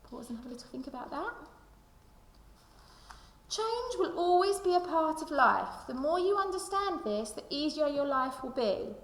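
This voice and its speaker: In a very reverberant room, a woman reads to children like a primary teacher. Her voice sits fairly high with a creaky edge, and she addresses her young listeners directly. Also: sing-song, moderate pace. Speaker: primary school teacher reading aloud to children. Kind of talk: expressive children's storybook read-aloud with reflective questions. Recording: echoey room with long reverb.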